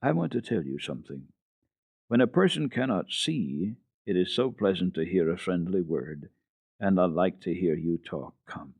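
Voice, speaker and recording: A man's voice: low voice, dry recording, phone-quality sound, exaggerated voice. Man voicing a mournful old grandmother. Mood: gentle, soothing. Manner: plaintive, sighing delivery.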